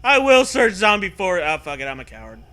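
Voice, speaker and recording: A man reading a comic storybook aloud with animated, profane casual banter. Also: sits high, a little fast. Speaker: reader of a comic choose-your-own-adventure book.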